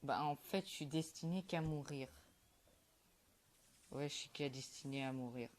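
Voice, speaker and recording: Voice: medium pitch, measured pace. A young streamer talks over his match in a loose, mumbled way. He drifts along with frequent fillers and half-finished thoughts, chatting to his viewers in an offhand manner. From Quebec, Canada. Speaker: gaming YouTuber. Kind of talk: casual gameplay commentary.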